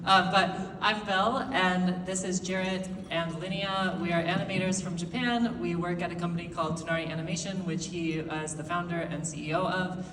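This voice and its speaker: Woman, animator guest, lively, echoey hall, faint background noise. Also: lowish voice, brisk. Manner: lively, not monotone. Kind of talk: stage panel talk. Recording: echoey hall, distant mic.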